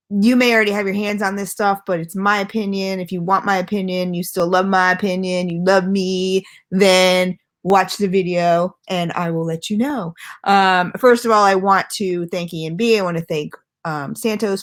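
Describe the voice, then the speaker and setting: A woman's voice: mid-range voice, a bit bright. Chatty woman vlogger rambling at home. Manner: rambling, self-deprecating, frequent fillers. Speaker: vlogger reviewing e-liquids. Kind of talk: casual chatty product review. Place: at home, not her usual setup.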